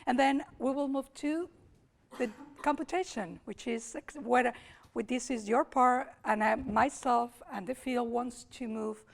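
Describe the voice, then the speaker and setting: A woman with a Spanish accent, hoarse today, giving an academic lecture at a summer school, thinking aloud with restarts and repeated words. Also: a little high, measured pace. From Spain.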